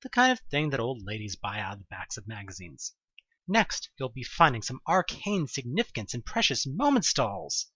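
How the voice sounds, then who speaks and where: Male narrator for horror audio drama: animated, husky-edged, wry storytelling, dry and slightly lo-fi sound. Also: mid-range voice, normal speed, no echo. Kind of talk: dramatic scripted second-person horror narration.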